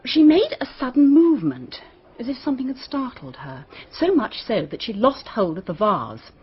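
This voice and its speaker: Prim english schoolmistress in a radio mystery, guarded n flustered, husky, very up-and-down voice, bit muffled. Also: female voice, fairly high pitch, very animated, normal speed, exaggerated voice. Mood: recounting, uneasy. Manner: defensive, clipped, proper. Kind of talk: radio drama acting.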